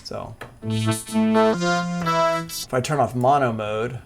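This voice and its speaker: A man giving a casual, improvised live software tutorial as a music production instructor, thinking aloud hesitantly as he works. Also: mid-range voice, steady tone, extremely slow, gravelly. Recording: music playing behind the voice.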